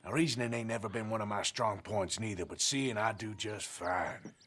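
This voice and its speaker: Blunt, drawling outlaw cowboy. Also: male voice, fairly low pitch, normal speed, a bit bright, breathy edge. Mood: stern. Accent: American, rural Western. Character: tough, blunt American outlaw cowboy, protective and threatening. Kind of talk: video game voice acting.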